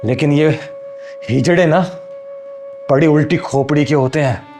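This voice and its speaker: A man's voice: a little high, measured pace, a little mellow. An actor playing a stern rich father who talks to his son in a menacing, commanding way. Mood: threatening. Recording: roomy echo with faint music.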